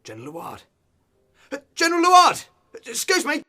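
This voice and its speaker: An actor playing an eager, flustered newspaper reporter in a radio play, hesitant and apologetic. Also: male voice, very high voice, wildly swooping pitch, normal speed, sharp tone, full character voice. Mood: flustered eagerness.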